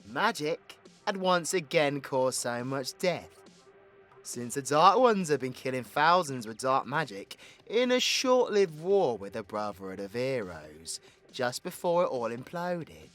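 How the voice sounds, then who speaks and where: Man playing a swaggering British supervillain, animated delivery. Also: medium pitch, unhurried. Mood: scornful, dramatic. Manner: rambling, teasing, dramatic storyteller, sweary. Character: cocky, foul-mouthed, flirtatious male supervillain, theatrical and tipsy. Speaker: audio roleplay voice actor. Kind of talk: villain ASMR roleplay monologue. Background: background music.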